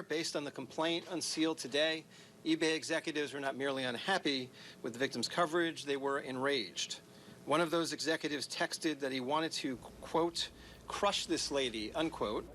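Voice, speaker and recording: Forceful, bright-voiced man, formal statement. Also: medium pitch, a little hiss or hum, low-quality recording.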